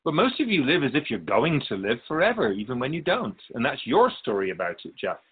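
A man playing a wise, wry otherworldly spirit guide in a radio drama interview, measured and philosophical. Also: medium pitch, wildly swooping pitch, husky, phone-quality sound. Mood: earnest, probing. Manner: measured, philosophical, gently admonishing.

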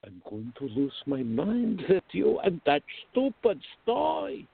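A man playing an exasperated, excitable, easily offended friend in a radio drama, complaining with indignant, drawn-out emphasis. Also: high-pitched, vocal fry, slightly rough, exaggerated voice. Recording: thin, phone-like band-limited audio.

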